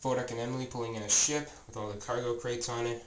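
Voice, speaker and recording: A man's voice: mid-range voice, steady tone, fast. Stuffy-nosed man touring his toy trains. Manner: rambling, many fillers and self-corrections. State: stuffy and scratchy-voiced. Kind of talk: casual show-and-tell narration. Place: home train room, indoors. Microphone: handheld camera mic, filming close.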